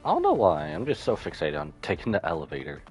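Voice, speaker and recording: A man's voice: sits low, wildly swooping pitch, quick pace, darkish tone. A man livestreaming a video game with casual commentary, musing aloud to himself as he plays. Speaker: livestreaming gamer. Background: game music.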